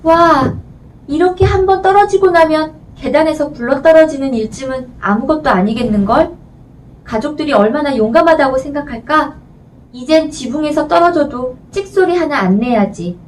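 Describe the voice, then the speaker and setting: A woman's voice: high-pitched, normal speed, far from the mic, slight background noise, pitched above the performer's own voice, lightly acted, rough recording. A woman voicing a curious, boastful little girl from a storybook, musing aloud to herself. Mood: impressed, boastful.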